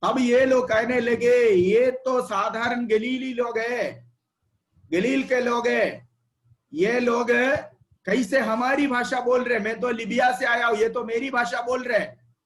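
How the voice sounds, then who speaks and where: A man's voice: extremely high pitch, fairly even intonation, a little slow, a bit bright. A man teaching a Bible study over an online call, repeating words, prompting a reader and checking that he is heard.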